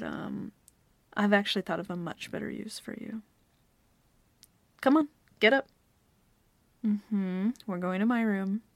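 A woman playing a teasing, bossy young dominant girlfriend in an intimate, soft-spoken ASMR roleplay, often dropping to a whisper. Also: mid-range voice, creaky, no echo. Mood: pleased, commanding.

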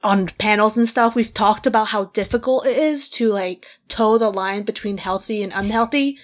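A woman hosting a podcast, asking easy questions and agreeing in a relaxed, casual way. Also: mid-range voice, moderate pace. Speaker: podcast host. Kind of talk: casual interview chat.

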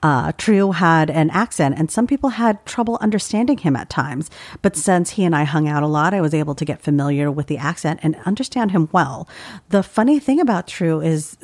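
Low voiced lady cohost reading listener mail, laughs a lot, casual. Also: brisk. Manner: animated, laughs often. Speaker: podcast co-host.

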